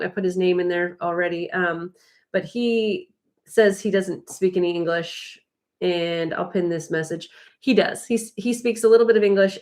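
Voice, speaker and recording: An American woman co-hosting a live travel stream, chatting casually and explaining things in a rambling, chatty way. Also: fairly low pitch. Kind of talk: casual chat, giving travel tips.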